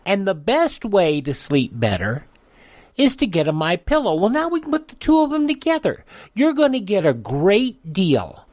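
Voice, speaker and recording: A man giving a conversational radio sales pitch, folksy and emphatic. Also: no echo.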